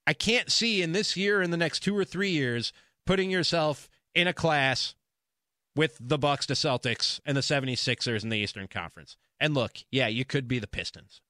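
Bright, high-pitched American sports radio host. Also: male voice, moderate pace, no room sound, studio quality. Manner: emphatic, conversational, repeats phrases for emphasis. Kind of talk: sports talk radio monologue.

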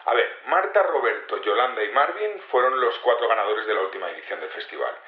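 An actor playing a patient but hesitant security investigator being interviewed in a naturalistic audio drama, explaining things colloquially with repetitions and tag questions. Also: male voice, on the low side, lively intonation, a little fast, echoey room, narrow, tinny audio, lightly acted. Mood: explanatory, guarded. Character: male security investigator, patient, hesitant, self-assured in his theory.

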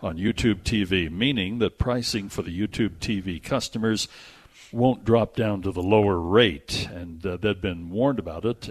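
Male movie-show host, lower register, conversational delivery of entertainment news with off-the-cuff asides. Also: slightly rough. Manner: conversational, reads news items aloud with asides. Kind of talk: casual movie and TV news chat.

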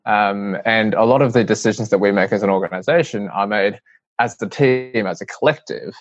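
An earnest young man with an Australian accent speaks in a low, measured voice, often restarting his sentences. The audio is dry and a little muffled. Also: dry recording. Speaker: guest, CEO of a youth-led charity. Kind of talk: interview answers.

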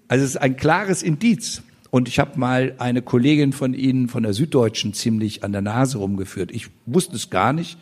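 A man in his sixties, a guest at a public panel talk, speaking passionately and emphatically, with indignant outbursts. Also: on the high side. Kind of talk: animated stage conversation. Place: public event in front of an audience.

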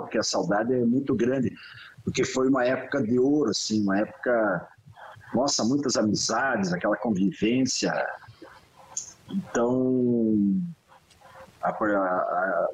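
A man reminisces in a halting voice that keeps doubling back on its words. His voice is husky, and the sound is a little dull and lo-fi. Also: mid-range voice. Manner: halting, repeats words, moved. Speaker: guest, son of the honoree. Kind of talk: emotional reminiscing.

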